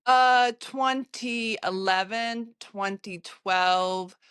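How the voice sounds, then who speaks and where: An American woman, a photographer, recounting her travels in an interview, halting often with fillers and restarts. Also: medium pitch, fairly bright tone, clean tone, no room sound, studio quality. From United States.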